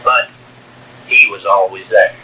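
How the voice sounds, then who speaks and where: Slow-talking older man on hissy cassette. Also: low-pitched, fairly even intonation, bright, forceful tone, rough recording. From Wyoming, USA. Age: 60s. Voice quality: strong voice. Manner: slow, halting, many fillers and restarts. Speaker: narrator of own life story. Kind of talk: taped personal memoir. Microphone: cassette recorder. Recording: old home cassette tape with hiss.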